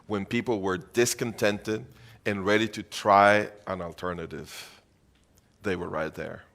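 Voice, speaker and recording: A man's voice: fairly low pitch, fairly even intonation, measured pace, creaky, a bit bright. A middle-aged man from Venezuela preaching a sermon as pastor, earnest and emphatic, repeating phrases for weight.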